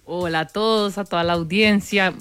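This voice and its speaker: A woman with a Bogotá Colombian accent, a guest on a talk program, explaining her work in measured, step-by-step answers. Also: on the low side, steady tone, fairly bright tone, smooth. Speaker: guest, corporate sustainability manager.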